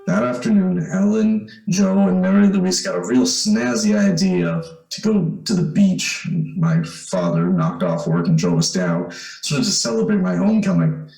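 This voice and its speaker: Radio drama actor, male: uneasy homecoming young man, hesitant and folksy, very animated, in an echoey room with music. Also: on the high side, normal speed, creaky. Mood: reminiscing. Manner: folksy boyish slang, hesitant. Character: eager, uneasy young man back home, telling his story. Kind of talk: old-time radio drama acting with first-person narration. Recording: roomy echo, music behind.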